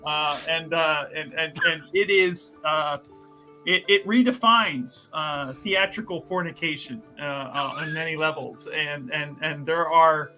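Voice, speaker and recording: A man's voice: on the high side, measured pace, sharp tone, soft background music, poor audio. A man narrating a tabletop game as game master, rambling and joking with many fillers.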